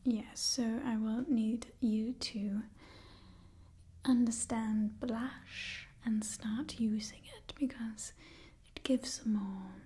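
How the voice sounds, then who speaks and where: A young woman playing a refined, doting vampire clan leader in a whispered ASMR roleplay, speaking in a genteel, gently imperious manner. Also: on the high side, steady tone, takes it slowly. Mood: instructive, soothing. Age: young adult. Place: small indoor room with wooden backdrop.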